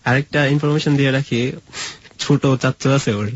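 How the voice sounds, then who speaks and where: A young man recounts a personal story in casual, colloquial speech with a Bangladeshi accent. His medium-pitched voice is husky and he acts out remembered dialogue. The recording is dull and lo-fi. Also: fairly even intonation, moderate pace. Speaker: guest telling his own story.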